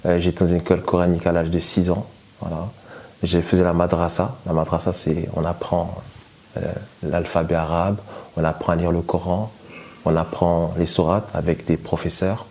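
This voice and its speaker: A man's voice: deep voice, flat delivery, normal speed, dark tone. A man born in France telling his personal testimony in a hesitant way, with many fillers and restarts. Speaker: guest giving testimony.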